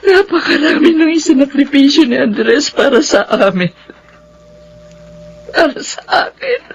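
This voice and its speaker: A woman's voice: high-pitched, animated, measured pace, vocal fry, a little hiss or hum, exaggerated voice. An actress playing a middle-aged, grieving widow and devoted mother in a radio drama, speaking in an emotional, wistful, heartfelt way. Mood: grieving, regretful. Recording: muffled radio drama with music underneath.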